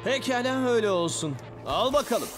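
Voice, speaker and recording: A man's voice: very high voice, animated, moderate pace, over loud music. A man voicing a laid-back, cool teenage gorgon boy in a cartoon dub, casual and breezy. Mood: nonchalant.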